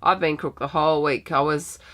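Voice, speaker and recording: An Australian woman hosting a knitting podcast, a bit croaky from a sinus infection, chatting in a rambling, friendly way with many ums. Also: low-pitched, steady tone, brisk, fairly bright tone. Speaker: podcast host. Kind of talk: casual knitting podcast chat.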